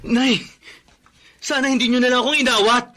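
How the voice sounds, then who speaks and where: A man's voice: very high-pitched, fairly even intonation, a little fast, pushed and bright, full character voice. An actor playing a principled, indignant young Filipino man and protective son in a radio drama, firm and earnest.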